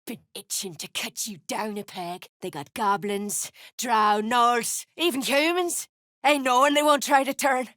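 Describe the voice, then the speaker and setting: A tough dwarven mercenary woman from a fantasy game, with a sharp, forceful and fairly high voice. She is greedy, blunt and threatening, and takes her time over coarse words. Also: a little slow, no echo, clean studio sound. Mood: wary, blunt. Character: tough, foul-mouthed, greedy female duergar mercenary. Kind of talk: video game character dialogue.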